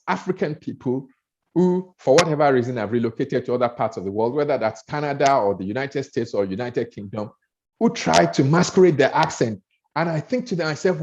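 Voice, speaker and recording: A man's voice: medium pitch, a little mellow. A man with a Nigerian accent coaching on public speaking, earnest, often repeating words as he builds his points. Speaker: speaker trainer. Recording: dull lo-fi audio.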